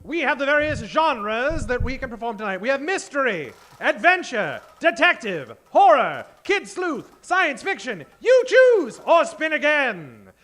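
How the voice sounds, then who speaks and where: A man's voice: very high voice, big pitch swings, measured pace, few pauses, fairly bright tone. A man hosting a live comedy show from a theater stage, talking like a theatrical old-time 1940s radio emcee and projecting to the audience.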